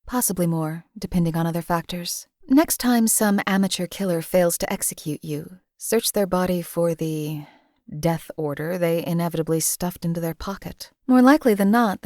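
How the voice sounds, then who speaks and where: A woman playing a deadpan cold assassin. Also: fairly low pitch, no echo, clean studio sound, close to an everyday voice. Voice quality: calm, clipped, deadpan. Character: cold detached female assassin companion. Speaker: game companion. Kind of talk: game voice lines.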